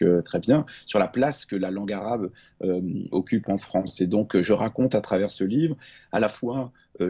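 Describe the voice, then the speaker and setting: A man in his forties, born in Lebanon, speaking as an author and guest on a panel over a video call in a calm, reflective way. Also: on the low side, husky, lo-fi sound. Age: 40s.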